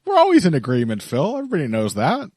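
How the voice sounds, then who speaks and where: A man's voice: on the high side, huge pitch swings, fast, a little mellow, dry recording. Dry-miked man breaking down fights. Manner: analytical, teasing, laughs easily. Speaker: podcast co-host. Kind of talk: casual fight analysis chat.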